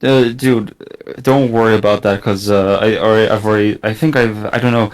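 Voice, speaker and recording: A man's voice: fairly low pitch, steady tone, fairly quick. A young man from Estonia, not a native English speaker, chatting casually on a gaming podcast, with many fillers and restarts. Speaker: podcast guest.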